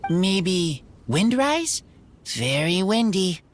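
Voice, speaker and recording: A woman playing an earnest, loyal feral boy in a video game, speaking in halting, simple sentences. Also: on the low side, very animated, slight background noise, heavily acted. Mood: plain, helpful.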